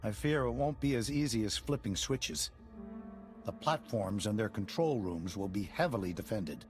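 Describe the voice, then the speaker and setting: Man acting grave concerned ally, low pitch, formal, game cutscene, music behind. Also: expressive, moderate pace, close to an everyday voice.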